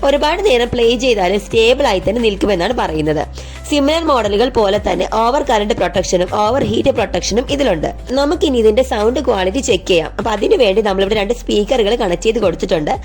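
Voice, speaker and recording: A woman narrates a product review in a scripted presenter style. Her voice sits at a middle pitch, with music playing softly behind her. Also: expressive, fast talker.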